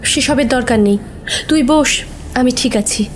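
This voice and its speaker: A woman's voice: high-pitched, a little slow, slight background noise. A woman playing an uneasy, kindly young village wife in a horror audio story, with a hesitant, anxious manner. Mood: uneasy, polite.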